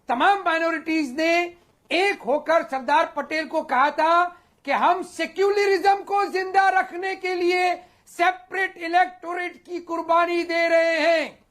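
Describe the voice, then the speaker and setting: A man arguing forcefully and accusingly as a panelist in a heated TV debate. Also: very high voice, fairly even intonation, slow.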